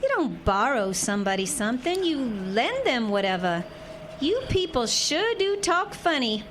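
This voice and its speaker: High-voiced sassy young Texas bride played by an actress. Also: female voice, very animated, a little slow, fairly bright tone, slight background noise. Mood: sassy, teasing. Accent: Southern US. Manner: sassy, folksy Southern turns of phrase. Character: feisty young Texas bride, proud and teasing. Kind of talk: radio drama acting.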